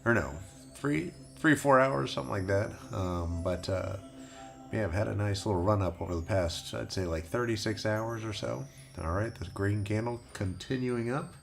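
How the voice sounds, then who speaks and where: A man's voice: on the low side, normal speed, gravelly, faint music behind. A man hosting a casual crypto livestream, rambling with lots of fillers and restarts while he loses his voice. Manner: reads viewer questions aloud. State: losing his voice.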